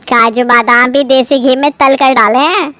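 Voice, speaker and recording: A woman's voice: sits high, fairly even intonation, moderate pace, bright, forceful tone, no echo. Woman playing middle-aged cartoon mother, obliging, proud home cook, dry close recording.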